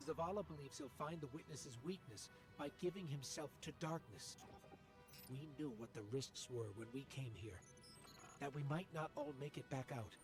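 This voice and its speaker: An actor voicing a devoted little machine companion in a video game, earnest, tender and reflective. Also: male voice, fairly high pitch, moderate pace, over music, close to an everyday voice. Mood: worried, urgent. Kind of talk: video game cutscene monologue.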